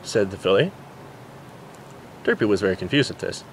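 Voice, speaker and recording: A man's voice: deep voice, animated, moderate pace, gravelly, slight background noise. A man narrating a story as an amateur reader, stumbling over words now and then. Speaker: amateur fan fiction reader. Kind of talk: story narration, reading aloud.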